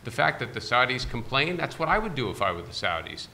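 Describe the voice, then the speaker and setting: A man's voice: medium pitch, very animated, quick pace, bright, forceful tone, slight background noise. A man on a discussion panel, answering a question bluntly and argumentatively.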